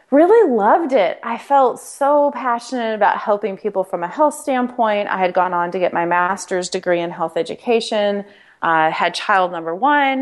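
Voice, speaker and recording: A middle-aged woman from Nebraska, a podcast guest telling her story in an earnest, animated, conversational way. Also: mid-range voice. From Nebraska, United States. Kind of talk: conversational interview.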